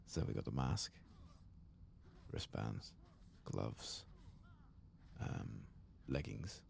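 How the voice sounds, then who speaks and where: A man giving soft-spoken ASMR game commentary in a gentle, murmuring voice that often drops to a breathy half-whisper. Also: a bass voice, big pitch swings, very slow, a little hiss or hum. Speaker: ASMR gaming channel host.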